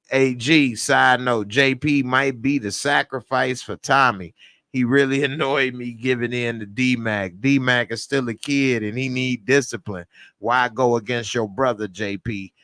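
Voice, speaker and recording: A man's voice: medium pitch, sharp tone, no echo. A man giving a casual spoken review of a TV episode, blunt and slangy, sometimes breaking into heated rants. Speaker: TV series reviewer.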